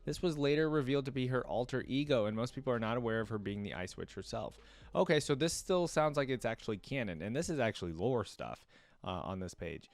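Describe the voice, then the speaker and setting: A man with a mid-pitched voice hosts a reaction video. He talks conversationally to his viewers, thinking aloud as he goes. His delivery moves between casual commentary and reading wiki text out loud. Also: animated, fairly quick.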